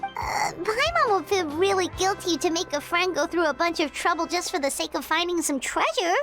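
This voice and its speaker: A woman voicing a tiny floating girl companion who chatters brightly and refers to herself in the third person. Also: very high-pitched, lively intonation, normal speed, soft background music. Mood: chatty.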